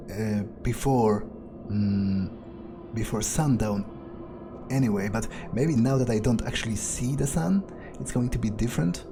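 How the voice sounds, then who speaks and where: Casting: a man as weary, sardonic male vampire in a video game, mid-pitched, unhurried, halting, swearing self-talk. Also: background noise, close to an everyday voice. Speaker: player roleplaying a video game character. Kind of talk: in-character improvised gameplay monologue.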